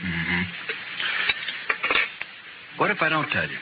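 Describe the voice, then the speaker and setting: An actor playing a cool-headed, sardonic gunfighter with terse irony, in 1950s radio western style. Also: male voice, low voice, big pitch swings, slow pace, heavily acted. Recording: dull, very noisy old radio recording.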